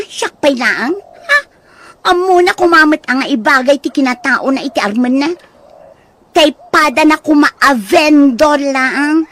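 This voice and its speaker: A woman's voice: sits high, a bit bright. A woman playing a snobbish, snappish young sister in an Ilocano audio drama, with an Ilocano accent. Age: young adult. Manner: snobbish, dismissive. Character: haughty, snappish young college-graduate sister, scornful of suitor.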